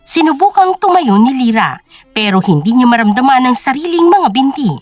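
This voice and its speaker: High, animated Filipino woman narrator. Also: normal speed, no room sound, faint music behind. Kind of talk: dramatic scripted story narration.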